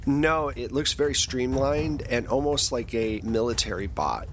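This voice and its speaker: A man's voice: mid-range voice, a little slow, a little hiss or hum. Man narrating as game master. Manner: descriptive, prompting players with questions.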